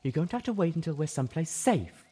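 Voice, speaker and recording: A man voicing a gentle but firm female pony medic in an audiobook, measured and reasoning. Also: a little high, sing-song, breathy edge, pitched above the performer's own voice. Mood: stern, reasoning.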